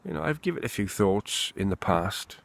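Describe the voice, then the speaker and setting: Low gravelly Welsh man, slow. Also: sing-song. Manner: rambling, candid, swearing freely. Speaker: vlogger. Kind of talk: unscripted monologue to camera. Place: outdoors in the wind.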